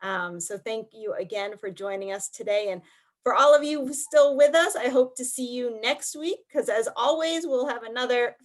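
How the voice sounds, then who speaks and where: A woman with a fairly high voice hosts a science presentation. She moderates informally, passing questions along and addressing the audience. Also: moderate pace. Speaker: host of an online science presentation series.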